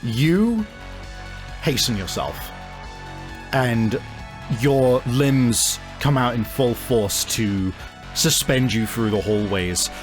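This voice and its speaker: A man narrating a tabletop game as game master, improvising and thinking aloud in a halting way. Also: medium pitch, animated, music in the background.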